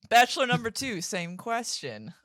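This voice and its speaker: A woman's voice: on the high side, big pitch swings, normal speed, fairly bright tone, no echo. A woman guest on a casual podcast, giggly and playful. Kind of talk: casual chat.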